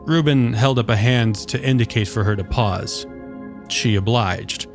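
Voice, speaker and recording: A man's voice: mid-range voice, a little slow. A man reading scripted fiction as the narrator of a story. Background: soft guitar music.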